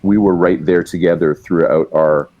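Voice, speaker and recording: A man's voice: deep voice, fairly even intonation, moderate pace, phone-quality sound. A male musician and writer, a guest telling long, rambling stories in casual chat, with many fillers and restarts. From Canada.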